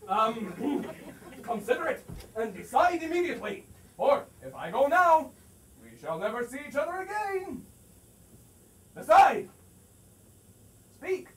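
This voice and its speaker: A man acting a blustering, lovestruck landowner in a comic stage play, stammering and halting, then bursting into shouts. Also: extremely high pitch, big pitch swings, a little hiss or hum, exaggerated voice. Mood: agitated, insistent. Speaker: student stage actor. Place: theatre stage, recorded from the audience. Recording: distant stage microphone, music behind.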